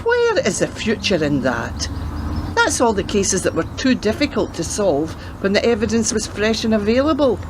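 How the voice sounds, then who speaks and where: A woman playing a wary old grandmother and retired detective in a radio play, speaking in a measured, admonishing way. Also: mid-range voice, wildly swooping pitch. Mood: reproving. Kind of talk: scripted radio play acting. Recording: community radio drama with clear background noise.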